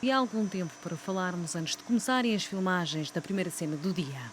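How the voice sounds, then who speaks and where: A woman's voice: on the low side, a little hiss or hum. A woman reporter reading a scripted news voice-over. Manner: brisk, scripted delivery. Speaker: reporter narrator.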